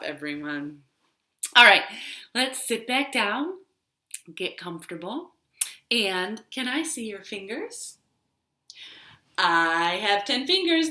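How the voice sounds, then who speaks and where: A woman's voice: a little high, pushed and bright. A woman leading a children's storytime with rhymes, talking in a sing-song way to small children in a living room. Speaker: children's librarian hosting storytime. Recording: roomy, echoey home recording.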